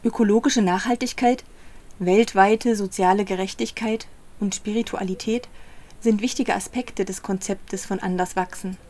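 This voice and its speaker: A woman reporter reading scripted narration for a radio feature in a calm, even manner. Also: medium pitch, moderate pace.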